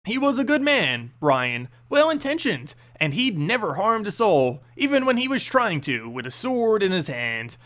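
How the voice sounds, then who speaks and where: A man narrating a fantasy story in an expressive, dramatic storyteller's manner. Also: high-pitched, moderate pace, few pauses. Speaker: narrator reading game lore.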